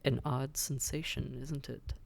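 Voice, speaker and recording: A man playing a suave, seductive demon prince in an intimate audio drama, breathy and often near a whisper, teasing and coaxing in formal wording. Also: medium pitch, flat delivery, measured pace, background noise. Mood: teasing, menacing.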